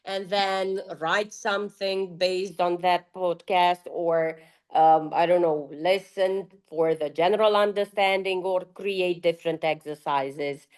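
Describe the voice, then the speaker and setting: A woman teacher talks slowly on an online panel about using podcasts in class. She hesitates and restarts her sentences often. She speaks over a video call. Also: fairly low pitch, fairly even intonation, darkish tone, smooth. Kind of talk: panel discussion answer.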